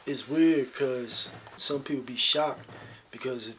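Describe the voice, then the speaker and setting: A man with a deep talking voice, answering interview questions about his singing in a relaxed, reflective way. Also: takes it slowly, a little hiss or hum. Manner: relaxed, reflective, many "you know" fillers. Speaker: singer guest.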